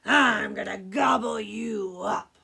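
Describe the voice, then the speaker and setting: A woman doing a roaring, gravelly storybook troll. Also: mid-range voice, heavily acted. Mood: gruff. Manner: loud, slow, roaring growl. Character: greedy, selfish male bridge troll.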